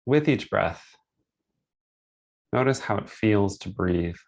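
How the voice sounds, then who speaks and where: A man leading a guided meditation, speaking gently in short, unhurried phrases with pauses between them. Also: on the low side, lively intonation, creaky. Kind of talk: guided meditation, instructional and soothing.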